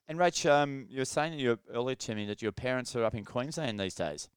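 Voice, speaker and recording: Host: man, smooth clear voice, chatty and keen, dry close sound. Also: mid-range voice, dry recording. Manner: chatty, enthusiastic, quick exclamations. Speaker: podcast host, interviewer. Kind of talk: friendly interview.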